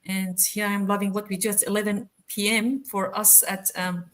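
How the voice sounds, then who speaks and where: A woman's voice: mid-range voice, level tone, moderate pace. A woman in her fifties living in Australia, introducing herself on a video call in a bubbly, chatty way full of ums. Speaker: guest.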